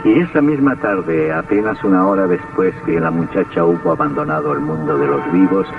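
An actor playing a sardonic, sinister horror storyteller in an old radio drama, speaking with theatrical deliberation. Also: male voice, sits low, fairly quick, recorded from a distance. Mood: sinister narrating. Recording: tinny, band-limited old radio with music.

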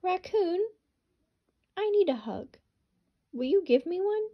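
A woman's voice: very high voice, very animated, slow pace, muffled recording, voice pushed up, heavily acted. A woman voicing a lonely little male hedgehog who pleads for a hug. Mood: pleading.

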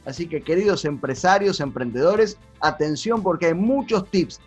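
An energetic man, hosting an interview with enthusiasm. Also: a little high, moderate pace, breathy edge, faint music behind. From South America. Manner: energetic, exclamatory, gestures with hands. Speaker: host. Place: home study with bookshelves. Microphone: webcam on a video call.

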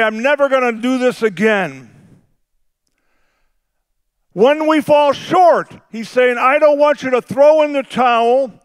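A middle-aged male pastor with a big voice, preaching a sermon that swings from quiet, conversational asides to shouted, drawn-out emphasis. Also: extremely high pitch, clear, resonant tone. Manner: drawn-out emphatic phrasing on key words.

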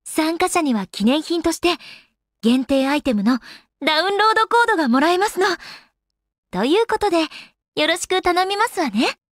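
An actress voicing an elegant, teasing young goddess in a Japanese video game, with refined, polite and slightly haughty manners. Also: female voice, extremely high pitch, big pitch swings, moderate pace, a bit bright, no room sound, clean studio sound, full character voice. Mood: polite, composed. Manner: polite, haughty ojou-sama refinement with playful coyness.

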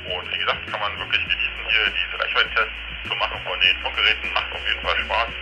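A man with a very low voice speaks fast through a walkie-talkie, calling out test points and repeating each distance. Loud music plays over the radio sound. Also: fairly even intonation, vocal fry. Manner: rapid, repetitive announcing of test points. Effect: heard through a walkie-talkie. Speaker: tech reviewer host.

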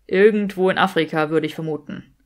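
A woman's voice: deep voice, a little fast, darkish tone, voice pushed down, natural voice. A woman voicing a grumpy, sarcastic young male wizard in an audiobook, with a dry, sardonic delivery. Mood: dry, weary.

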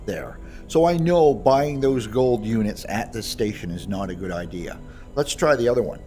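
Male, low voice, casual conversational demo commentary with halting delivery, faint music underneath. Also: expressive, darkish tone. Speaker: creator demonstrating his voice pack. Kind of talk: casual gameplay demonstration commentary. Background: music behind the voice.

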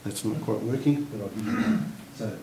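A man's voice: lowish voice, very animated, normal speed, heavy background noise. A middle-aged man moderating a panel, speaking thoughtfully with frequent restarts and fillers. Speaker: moderator, academic host. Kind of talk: panel conversation. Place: lecture room with a seated audience. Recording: roomy lecture hall, mic at a distance.

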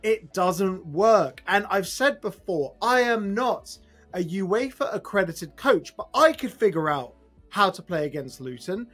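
A man in his thirties giving a blunt, emphatic, exasperated fan reaction to camera after a football match, with a husky voice. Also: high-pitched, lively intonation, unhurried, a bit bright, soft background music. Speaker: football fan channel host. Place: small room at home, indoors.

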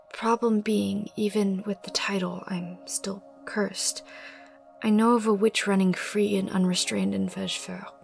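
A woman voicing an anxious, cunning young girl in an animated drama, earnest and guarded, sometimes breathy. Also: mid-range voice. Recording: music under the dialogue.

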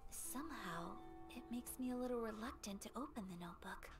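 A woman acts out a refined young noblewoman from a game, with a fairly high, soft and slightly breathy voice. She is earnest, polite and reserved, choosing words with formal care. Music plays clearly behind her. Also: a little fast, lightly acted. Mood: hesitant. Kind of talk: English game dub acting.

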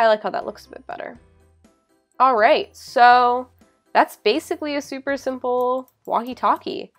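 A young adult woman narrating a software tutorial in a casual, informal way, often saying "like". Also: on the high side. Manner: informal, hedging with frequent "like". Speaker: tutorial presenter. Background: background music.

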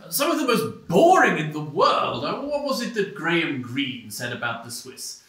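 A smug male intellectual played by an actor lectures pompously in a satirical stage debate. His voice is fairly high and bright, with halting, oratorical phrasing, heard at a distance in a roomy space. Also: big pitch swings. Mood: smugly dismissive. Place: small stone-walled theatre stage.

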